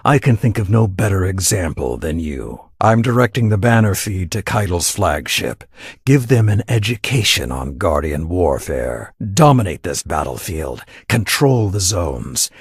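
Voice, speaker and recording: A man playing a solemn, proud warrior lord announcing matches in a video game, measured and stately. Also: sits low, husky, no echo, studio quality, exaggerated voice.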